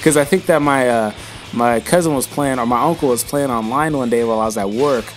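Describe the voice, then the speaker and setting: A man's voice: medium pitch, a little fast, faint music behind. A young man answering viewer questions in a casual vlog, rambling and slangy and calling viewers bro, with his voice starting to go. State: losing his voice.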